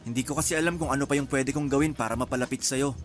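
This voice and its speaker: Young man, actor playing apologetic suitor, mid pitch, pleading, defensive, radio drama, music bed. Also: fairly even intonation, very fast, natural voice. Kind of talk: Philippine radio drama acting.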